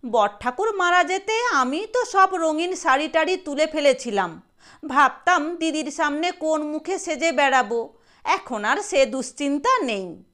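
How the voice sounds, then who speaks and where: A woman's voice: sits high, expressive, measured pace, a bit bright. A woman voicing a snide aunt who needles and gossips about her sister-in-law in an audio story. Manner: taunting, needling.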